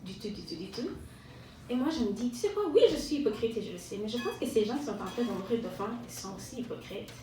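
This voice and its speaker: A woman from Rwanda performs a self-mocking, ironic stand-up monologue, often pausing and repeating words. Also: mid-range voice, animated, a little mellow. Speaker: stand-up comedian. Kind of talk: stand-up monologue to a live audience. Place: live venue with an audience. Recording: echoey room, distant mic, audience noise.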